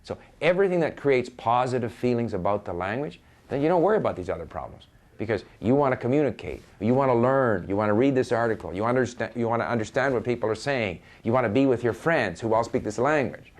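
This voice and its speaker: Canadian male, informal advice-giver, emphatic delivery with lively swings in pitch, leans on repetition. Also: fast. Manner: emphatic, repeats phrases for stress, quotes imagined speakers conversationally. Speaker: experienced language learner giving advice. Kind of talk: informal, opinionated talk on learning.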